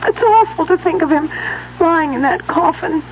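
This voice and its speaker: A woman's voice: very high voice, normal speed. An actress playing a tender, wistful woman in an old-time radio drama, speaking earnestly and with feeling. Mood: grieving. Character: tender, wistful woman mourning her beloved step-grandfather. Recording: muffled vintage radio recording, faint hiss.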